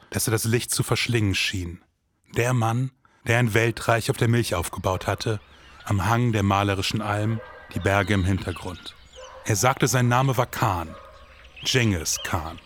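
A man's voice: fairly low pitch, measured pace, a little hiss or hum. A man in his forties hosting a podcast with scripted, detective-noir style narration. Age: 40s.

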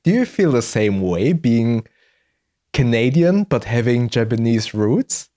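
A man's voice: medium pitch, sing-song, unhurried, warm tone. A man raised in Germany, hosting a podcast and asking questions in a thoughtful, hesitant way with many fillers. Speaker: podcast host. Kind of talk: casual conversation.

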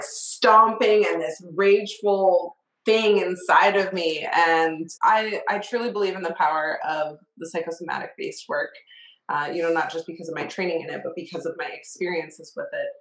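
A woman's voice: on the low side, normal speed, roomy sound, low-quality recording. A woman hosting a podcast, chatting casually and telling a personal story in an open, reflective way with easy laughs. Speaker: podcast host. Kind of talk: casual interview chat.

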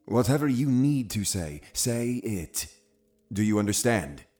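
An actor playing a cunning, zealous Puritan uncle in an audio drama, commanding and condescending with deliberate phrasing. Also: male voice, on the low side, very animated, warm tone, soft background music. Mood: stern, urgent. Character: cunning, zealous Puritan uncle, a menacing witch-hunting authority. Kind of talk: full-cast audio drama acting.

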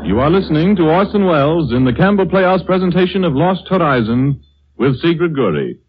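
A man's voice: on the high side, sing-song, fairly quick, a little hiss or hum. An American man announcing on 1930s radio, reading a script in a formal, polished and emphatic way. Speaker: radio announcer and sponsor's pitchman. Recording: slightly muffled old 1930s radio recording.

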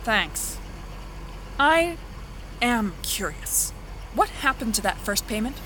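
A practical woman farmer with a high, forward, bright voice. She is plain-spoken and guarded, keeping her replies short in a naturalistic radio-play style, with faint outdoor machinery noise around her. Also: very animated, slow, heavily acted, rough recording. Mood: guarded, reluctant. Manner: terse, plain-spoken, hedging politely. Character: practical, guarded woman farmer renting land, busy seeding. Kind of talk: naturalistic audio drama dialogue.

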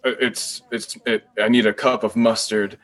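A man's voice: lowish voice, flat delivery, normal speed, a bit bright, natural voice. A man playing a meek, eager new wizard employee in an improvised comedy sketch, stammering earnestly and hesitantly. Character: meek, eager male wizard newcomer starting a job.

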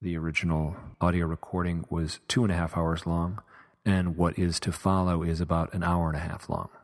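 A man with a heavy cold that makes his voice much deeper than usual, explaining things in a measured, plain way. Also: little pitch movement, in a large hall. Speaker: podcaster introducing edited audio.

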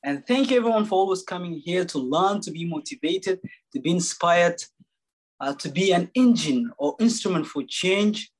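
A male podcast host with a clearly high voice who welcomes his guest. His talk is lively and informal, and he circles back to repeat his points. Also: normal speed, poor audio. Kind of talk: casual interview hosting.

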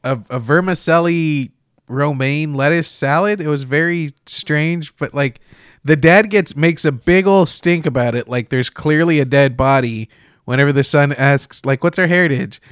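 A man's voice: a little high, a little slow, clean tone, dry recording, clean studio sound. A man co-hosting a casual film discussion, talking informally and hesitantly with a wry manner. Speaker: podcast co-host.

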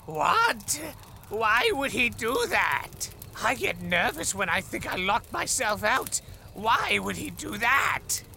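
Man playing a fussy lore master, swooping and creaky. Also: sits high, sing-song, slow, bright, forceful tone, a little hiss or hum, full character voice. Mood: bewildered. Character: fussy, flustered lore master arguing with his pet owl. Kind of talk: storybook audio drama dialogue. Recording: music under the voice.

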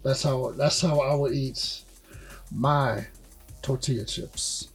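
A man with a gravelly, husky voice chatting casually as a podcast panelist. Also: medium pitch, steady tone, slow, soft background music. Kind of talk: casual chat.

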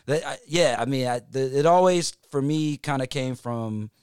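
A man from North Carolina speaking as a podcast guest, halting with lots of ums and restarts. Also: medium pitch, darkish tone, clean tone, no echo, studio quality. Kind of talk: casual interview conversation.

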